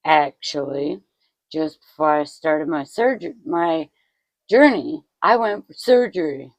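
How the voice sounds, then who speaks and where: A woman in her forties with a low, gravelly voice talks slowly in a dry, close recording. She chats to her viewers from home, poking fun at herself and swearing freely. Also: lively intonation, no room sound. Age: 40s. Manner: self-mocking, swearing, laughing at herself. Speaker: vlogger. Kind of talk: casual vlog chat.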